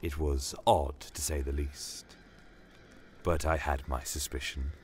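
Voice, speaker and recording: A man performs a stern fantasy war commander recounting his tale. The voice is very low and slow, formal and brooding, with proud authority in a storytelling style. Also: fairly even intonation, slight background noise. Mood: brooding, measured. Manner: measured, deliberate, formal, brooding. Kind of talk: first-person fantasy audio storytelling.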